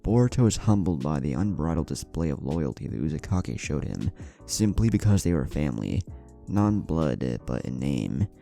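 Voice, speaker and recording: Very deep, gravelly male storyteller. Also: big pitch swings, normal speed, mellow, soft background music. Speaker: narrator. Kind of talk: scripted story narration of fan fiction.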